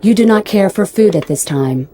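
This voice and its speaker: A woman playing a polite, literal-minded spaceship computer in an audio drama, speaking in a formal, measured, impassive way. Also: medium pitch, lively intonation, mellow, faint music behind, natural voice. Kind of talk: radio drama dialogue.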